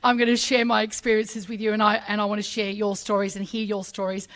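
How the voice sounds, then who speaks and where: A woman's voice: mid-range voice, level tone, fast talker. A middle-aged Australian woman, raised speaking Arabic, giving a chatty, emotional personal speech at a public ceremony, acting out voices in her stories.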